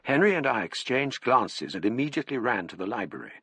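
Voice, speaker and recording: An actor playing the formal, measured English narrator of a gothic radio mystery. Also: male voice, medium pitch, big pitch swings, fairly bright tone, slightly rough, full character voice. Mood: measured, ominous. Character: male narrator recounting a gothic mystery in first person. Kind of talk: scripted radio drama narration.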